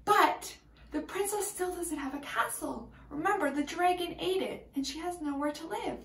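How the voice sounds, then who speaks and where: A woman's voice: very high-pitched, moderate pace, a bit bright, echoey room. A woman teacher reading a storybook aloud expressively and prompting young listeners with questions.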